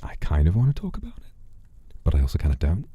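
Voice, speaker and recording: A man hosting a livestream, chatting casually and reflectively in a soft-spoken, sincere, unhurried way that often drops to a breathy murmur. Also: deep voice, huge pitch swings, dry recording, dull audio. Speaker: livestream host.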